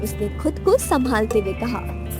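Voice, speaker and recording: High, expressive woman narrator. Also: measured pace, over music. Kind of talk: scripted romantic story narration.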